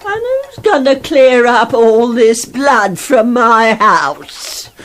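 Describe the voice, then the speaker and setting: A woman's voice: fairly high pitch, very animated, takes it slowly, a bit bright, soft background music, full character voice. An actress playing a homely, scolding old grandmother in a radio play, no-nonsense and colloquial. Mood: exasperated.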